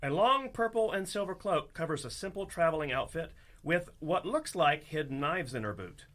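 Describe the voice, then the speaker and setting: An actor playing the storytelling narrator of a comic fantasy radio play, theatrical and descriptive. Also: male voice, fairly high pitch, animated, normal speed, a bit bright.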